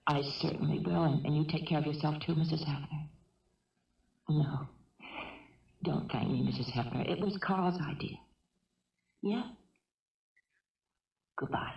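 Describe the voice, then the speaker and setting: An actress playing a gentle, composed middle-aged housewife in a 1970s radio drama, speaking carefully, politely and soothingly. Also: female voice, low-pitched, creaky, distant mic. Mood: consoling. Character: gentle, composed housewife and mother held hostage. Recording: roomy, muffled old radio broadcast.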